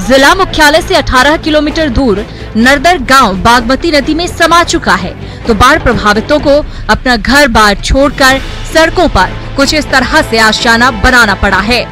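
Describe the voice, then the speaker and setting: A woman reading scripted regional news narration in a formal, steady way. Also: high-pitched, normal speed, soft background music. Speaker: news anchor.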